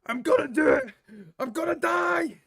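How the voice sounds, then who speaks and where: A man's voice: extremely high pitch, moderate pace, vocal fry, a bit bright, pitched above the performer's own voice, full character voice. A man voicing a hysterical, melodramatic young defendant from a video game, wailing in despair. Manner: screaming, melodramatic.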